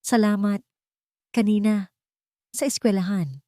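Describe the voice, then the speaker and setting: A woman voicing a calm but firm, earnest man in a romantic audio story, a protective guardian who speaks politely. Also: medium pitch, measured pace, no echo, studio quality, voice pushed up, close to an everyday voice. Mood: serious, sincere.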